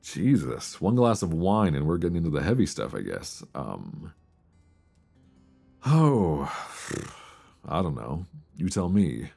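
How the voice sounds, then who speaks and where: A man acting as a lovestruck male friend in an intimate ASMR romance roleplay. His voice is extremely low with a gravelly creak, soft and hesitant, spoken close to the mic over faint music. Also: very animated, full character voice. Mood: flustered. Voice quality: deep voice. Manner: hesitant, soft, earnest, with sighs and pauses. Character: lovestruck longtime male friend confessing love, tender and nervous. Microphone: close binaural microphone.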